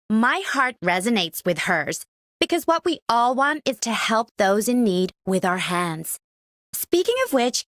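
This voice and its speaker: A woman's voice: fairly high pitch, a bit bright, no echo, studio quality. A woman voicing a caring, earnest young woman character in a game. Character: caring young woman fretting over her sister. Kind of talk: scripted game voice lines.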